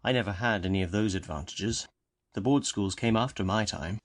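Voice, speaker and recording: A man voicing a calm, solemn, educated policeman who speaks in a slow and measured way. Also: fairly low pitch, close to an everyday voice. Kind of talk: audiobook character reading.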